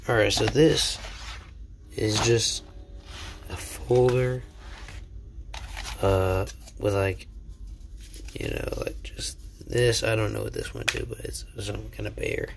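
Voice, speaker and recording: A young man with a heavily gravelly, low voice rambles slowly through a show-and-tell at home, sounding a little sick. He often slips into whispers. A faint hiss sits under the phone recording. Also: sing-song. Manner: rambling, self-deprecating, drops to whispers. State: sick, voice slightly off. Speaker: channel host. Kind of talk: casual show-and-tell vlog.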